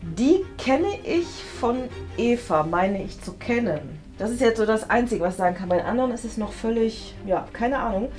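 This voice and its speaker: A young woman with a fairly high voice chats casually and ponders out loud in a playful, rambling way. She hesitates often as she talks her way to a guess. Some music plays behind her. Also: expressive, moderate pace, hardly any pauses. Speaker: host and contestant guessing in a game. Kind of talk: casual chat, thinking aloud. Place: small indoor studio room. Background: background music.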